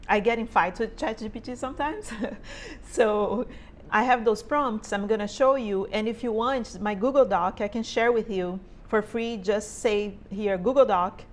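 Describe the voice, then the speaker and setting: A woman's voice: mid-range voice, slight background noise. A woman in her forties from Brazil with a Brazilian Portuguese accent, speaking English as a second language, chatting informally to camera from her sewing studio and often checking in with "right?". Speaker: sewing teacher and small business owner. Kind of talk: casual tutorial talk to camera. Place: home sewing studio, indoors.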